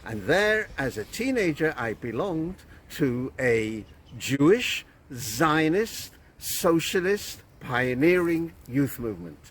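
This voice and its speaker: A man in his seventies from Manchester with a British accent, giving a measured, storytelling guided talk about kibbutz life. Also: fairly high pitch, very animated, breathy edge. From England. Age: 70s. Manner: slow, measured, storytelling delivery. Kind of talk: unscripted guided explanation to camera. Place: outdoors on a kibbutz.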